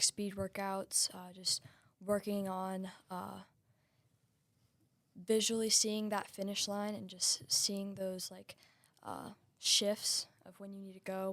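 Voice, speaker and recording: A teenage girl runner talking casually, with a lot of likes and ums and a gravelly fry. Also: medium pitch, monotone, a little slow, a bit bright, no echo. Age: teens. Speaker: high school runner.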